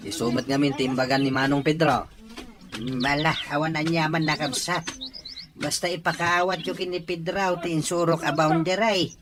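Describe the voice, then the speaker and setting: A man's voice: fairly high pitch, level tone, moderate pace, noisy background, lightly acted. A man acting an earnest labourer in a radio drama, speaking with an Ilocano accent. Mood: earnest, puzzled. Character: Simon, earnest hired labourer reporting a boundary problem.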